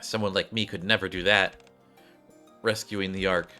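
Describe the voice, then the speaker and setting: A man's voice: deep voice, fairly even intonation, normal speed, bright, forceful tone, faint music behind. A man voicing a withdrawn, defeated gamer girl from a video game, terse and resigned.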